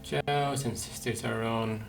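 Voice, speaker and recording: A man's voice: medium pitch, fairly even intonation, slow pace, vocal fry, rough recording. A man giving casual commentary while he plays a video game. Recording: game music loud behind the voice. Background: video game music.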